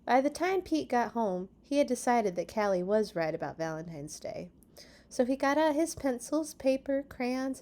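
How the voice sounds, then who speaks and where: A woman reading a picture book aloud to young children as a storytime host, with an expressive delivery that addresses them directly. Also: mid-range voice.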